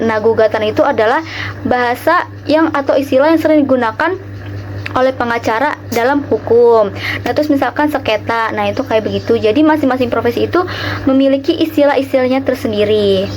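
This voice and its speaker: Young woman from Java, Javanese accent, high bright voice, friendly lesson explainer, faint background hiss. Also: brisk, rough recording. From Java, Indonesia. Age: young adult. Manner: chatty, addresses viewers directly with rhetorical questions. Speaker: student teacher explaining a lesson. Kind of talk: casual educational video.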